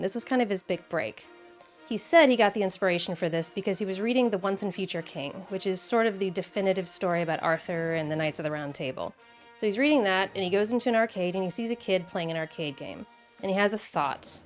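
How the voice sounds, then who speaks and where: An American woman hosting a video essay, talking in a conversational, playful way with casual asides. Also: mid-range voice.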